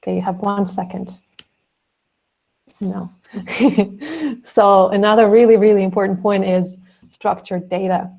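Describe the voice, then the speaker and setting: Woman moderator on a video call, bubbly, quick to laugh, mid pitch. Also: flat delivery, creaky, warm tone. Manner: bubbly, laughs often, encouraging. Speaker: roundtable moderator. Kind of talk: casual online roundtable chat.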